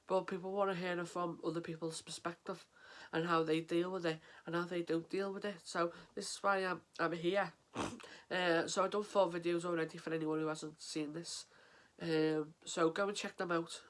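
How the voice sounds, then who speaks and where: Flat-voiced English man with a cold. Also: a little high. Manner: halting and earnest, many fillers and restarts. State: stuffy with a head cold, sniffling. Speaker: mental health vlogger. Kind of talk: casual to-camera vlog.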